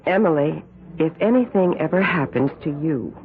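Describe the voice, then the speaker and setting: Brief: dark, sharp-tongued psychic sister, radio play, thin old sound. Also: female voice, deep voice, animated, normal speed, noisy background. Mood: brooding. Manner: intense, warning, brooding. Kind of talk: 1970s radio drama acting. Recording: phone-like old radio recording.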